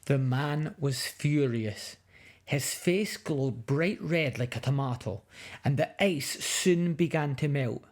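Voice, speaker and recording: A woman reading a picture book aloud to young children in an expressive, dramatic way. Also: a bass voice, slow. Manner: expressive, dramatic, with emphatic sound words.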